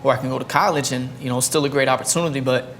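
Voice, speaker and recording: A man's voice: mid-range voice, slight background noise. A man in his twenties, a barber hosting the show, chatting casually while cutting a guest's hair. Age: 20s. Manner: fast, upbeat, hype opener then relaxed friendly banter. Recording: music bed behind the voice. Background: music.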